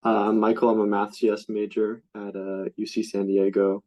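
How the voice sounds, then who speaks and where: A young man introducing himself on a student panel, halting and unhurried, heard over a remote call. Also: lowish voice, flat delivery, a little mellow, dull audio. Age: young adult. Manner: halting, many uhs.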